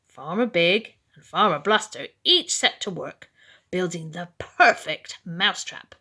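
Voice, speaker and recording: A woman reads a children's bedtime story aloud in a dramatic, expressive and deliberate manner. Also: fairly low pitch, breathy edge. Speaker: storybook reader.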